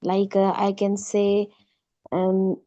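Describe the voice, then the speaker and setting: Sindhi-speaking woman, polite student, flat mellow voice, dry sound. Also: mid-range voice, slow pace, no room sound, lo-fi sound. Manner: polite, often says "ma'am", fillers and restarts. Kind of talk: online class speaking practice.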